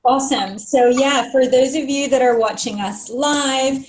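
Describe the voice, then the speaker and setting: A woman's voice: sits high, unhurried, echoey room, a little hiss or hum, rough recording. A woman co-hosting a live stream, friendly and organized as she runs through the outline, with some ums. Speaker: co-host.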